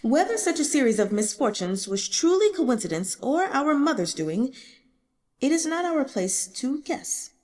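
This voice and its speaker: An embittered young emperor, acted out by a woman, speaks in long hall reverb. The delivery is formal, solemn and regal, swinging widely in pitch and sitting fairly high, as dramatic audiobook dialogue. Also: very animated, measured pace, darkish tone. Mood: bitter, weary. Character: embittered, self-mocking young male emperor, regal and burdened.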